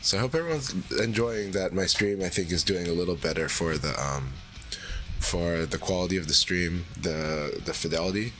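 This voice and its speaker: A man hosting a livestream in a relaxed, rambling way, his voice deeper than usual after secondhand smoke. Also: normal speed, faint music behind. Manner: relaxed, rambling, many fillers. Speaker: streamer. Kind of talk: casual livestream chat.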